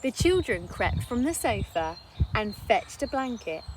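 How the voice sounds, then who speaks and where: A woman narrating a children's story in an expressive read-aloud style. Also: on the high side, a little slow, background noise. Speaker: storybook reader.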